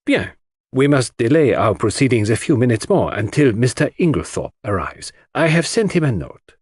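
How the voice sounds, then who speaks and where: A man playing a complacent, excitable foreign detective in an audiobook, with a grandiose, courteous and emphatic manner. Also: mid-range voice, normal speed, husky, no echo. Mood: pompous, courteous.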